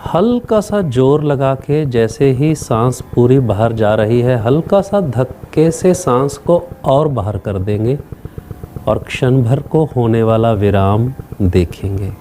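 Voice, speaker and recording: Guy teaching meditation, really slow n calm, noisy background like traffic hum. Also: medium pitch. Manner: calm, short phrases with long pauses. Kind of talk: guided meditation instruction.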